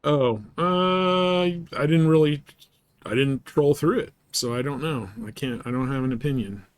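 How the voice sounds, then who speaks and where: A man hosting a live game stream, chatting casually and haltingly with viewers as he thinks aloud in an easygoing way. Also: on the high side, clean tone, dry recording. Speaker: game livestream host. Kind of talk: casual livestream chat while gaming, answering viewers.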